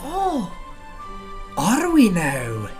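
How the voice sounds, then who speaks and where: A man's voice: sits high, huge pitch swings, drawn-out words, a little mellow, faint music behind, full character voice. A man playing a blunt, sardonic dwarf adventurer in a fantasy audio drama, given to needling remarks and archaic turns of phrase. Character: gruff male dwarf adventurer, sardonic and plainspoken.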